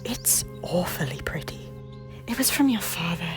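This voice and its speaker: A woman voicing a guilt-ridden former queen who pleads in breathy, often whispered lines. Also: lowish voice, big pitch swings, moderate pace, music in the background. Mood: pleading. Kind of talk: fan audio drama acting.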